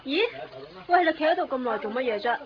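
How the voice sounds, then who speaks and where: A woman's voice: high voice, expressive, quick pace. An actress playing a curious, helpful woman colleague in a radio drama, with a Hong Kong Cantonese accent. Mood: curious, friendly. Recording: muffled recording, crowd behind.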